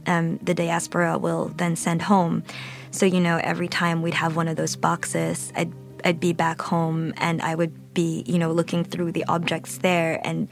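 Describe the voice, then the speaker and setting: Low, creaky-voiced woman, thoughtful. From Philippines (Manila). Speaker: interview guest, photojournalist. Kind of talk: reflective conversational interview.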